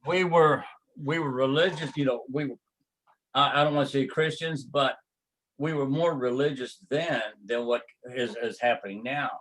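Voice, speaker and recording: Older man, Texas accent, slow halting recollection, fillers, middle pitch. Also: a bit bright, poor audio. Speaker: martial arts grandmaster. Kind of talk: reminiscing interview.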